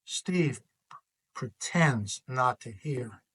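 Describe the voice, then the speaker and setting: Slow, expressive storybook read-aloud by a man. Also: mid-range voice, husky. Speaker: author reading own picture book.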